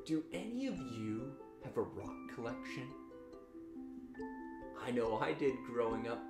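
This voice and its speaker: A man's voice: medium pitch, big pitch swings, unhurried. A man in his thirties hosting a children's story time, speaking simply and emphatically as if to young listeners. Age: 30s. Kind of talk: animated children's programme introduction. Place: living room, seated on a couch. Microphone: camera a few feet away. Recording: roomy echo, guitar music.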